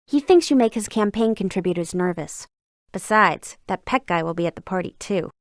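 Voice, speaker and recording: An actress voicing a brisk, learned museum curator in a video game, speaking in an articulate, scholarly and sardonic way. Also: female voice, medium pitch, lively intonation, no echo, clean studio sound, natural voice. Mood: dryly annoyed. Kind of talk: scripted video game dialogue.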